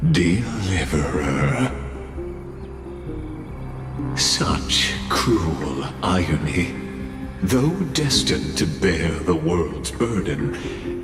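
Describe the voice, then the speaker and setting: A man's voice: sits low, heavily acted. An actor playing a grave, brooding male narrator who solemnly foretells tragedy in a dramatic game story. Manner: solemn, ominous, deliberate. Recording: long hall reverb, music behind.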